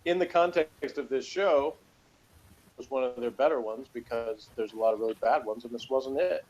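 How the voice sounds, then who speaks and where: A man's voice: mid-range voice, normal speed, a little mellow, dull audio. A man giving a casual, conversational TV review, explaining and arguing his points at length. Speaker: podcast reviewer.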